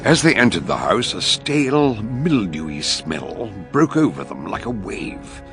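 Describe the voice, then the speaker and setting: A low British man's voice over prominent music. narrates a suspenseful radio mystery as a measured storyteller, his intonation lively and varied. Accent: British English. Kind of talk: scripted radio drama narration.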